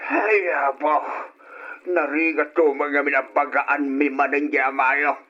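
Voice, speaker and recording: A lo-fi radio drama recording of a kindly grandfather. His voice is husky yet forceful, and he speaks slowly with elderly concern and sighing counsel. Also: fairly high pitch, fairly even intonation. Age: older. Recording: dull, lo-fi old radio recording.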